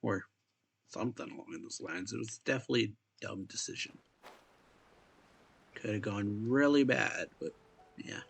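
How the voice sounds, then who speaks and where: A man giving casual, low-key game commentary in a gravelly, husky voice, speaking in halting bits. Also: a bit bright. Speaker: reaction video host.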